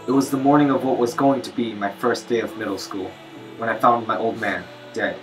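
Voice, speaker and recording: A man's voice: mid-range voice, fairly even intonation, brisk, close to an everyday voice, low-quality recording. Man playing a haunted young father confessing, music behind. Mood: bleak, bitter. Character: haunted poor young father, son of an addict, bitterly confessing. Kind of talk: first-person dramatic monologue narration with music.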